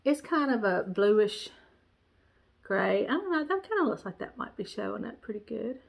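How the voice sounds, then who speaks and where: A woman's voice: medium pitch, sing-song, normal speed, gravelly. Congested woman chatting. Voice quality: nasal. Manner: rambling, warm, many fillers and restarts. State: stuffy, congested nose. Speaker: cross-stitch vlogger. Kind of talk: casual show-and-tell chat.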